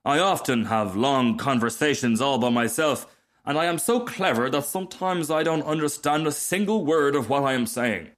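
A man's voice: mid-range voice, normal speed, voice pushed up, lightly acted. A man voicing a vain, easily offended firework rocket in a storybook, speaking in a haughty, formal, self-important manner. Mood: smug, lecturing. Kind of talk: storybook character reading.

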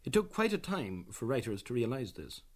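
A man's voice: medium pitch, big pitch swings. Man presenting radio history. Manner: measured, explanatory. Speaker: documentary presenter. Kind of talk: scripted radio documentary narration.

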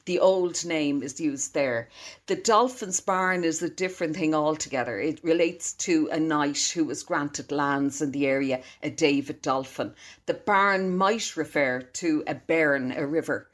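A woman's voice: low voice, a little slow. A woman with a Dublin accent, a local historian explaining place names in a chatty radio interview, laughing now and then.

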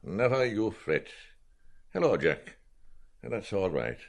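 A man voicing an excitable, blustering Irish newspaper editor with a harsh, crowing, declamatory delivery in a literary audiobook. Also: fairly low pitch, slow pace, creaky, heavily acted. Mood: calmer, brusque.

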